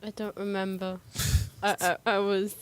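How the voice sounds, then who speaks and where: A woman joins a relaxed panel conversation with a mid-pitched voice. She talks casually and laughs easily between her remarks. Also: measured pace, smooth. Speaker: panel guest.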